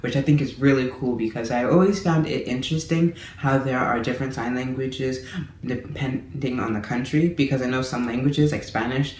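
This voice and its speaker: A man's voice: medium pitch, steady tone, moderate pace. Chatty young man in a roomy space. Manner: friendly, chatty, with small restarts. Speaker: language-learning vlogger. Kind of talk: casual monthly update to camera. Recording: roomy echo with faint room noise.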